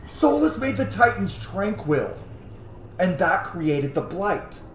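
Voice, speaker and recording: A man's voice: high voice, big pitch swings, slow pace. A man doing casual, sweary gameplay reaction commentary as a let's play host, often exclaiming. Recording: roomy echo, clear noise.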